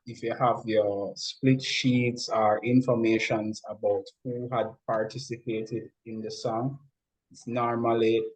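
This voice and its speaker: A man speaking as an interview guest, explaining things in a measured, instructive way. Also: mid-range voice, a little mellow, rough recording. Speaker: interview guest, music industry expert.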